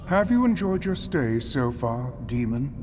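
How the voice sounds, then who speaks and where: Pompous alien prophet. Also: male voice, mid-range voice, sing-song, darkish tone. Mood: condescending. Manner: grandiose, slow monologuing. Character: scheming alien prophet, pompous male ruler. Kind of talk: video game cutscene acting. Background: music score.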